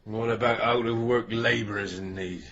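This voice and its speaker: An actor playing a gruff, suspicious out-of-work male labourer in a radio drama. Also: fairly low pitch, steady tone, normal speed, a bit bright, natural voice. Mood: hostile. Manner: hostile, gruff, challenging. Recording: echoey room, noisy, slightly dull.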